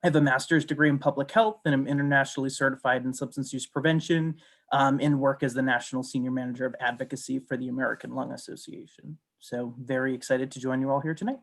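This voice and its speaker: A man's voice: medium pitch, fairly even intonation, creaky. Polite young man introducing himself. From Rhode Island. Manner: polite, measured, with fillers. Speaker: youth task force director. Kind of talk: self-introduction at a video meeting.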